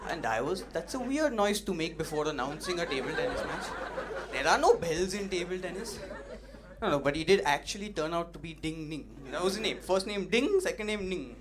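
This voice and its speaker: A young man doing an open-mic stand-up set, punchy and animated, teasing the audience, on a stage mic. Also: a little high, brisk, a crowd in the background. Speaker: stand-up comedian. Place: comedy club stage. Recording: noisy live club recording.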